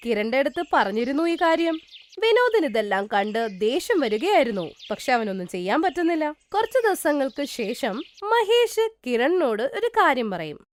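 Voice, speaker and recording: Woman reading out a kids story, high voice, super animated, bit of noise. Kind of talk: scripted children's story narration.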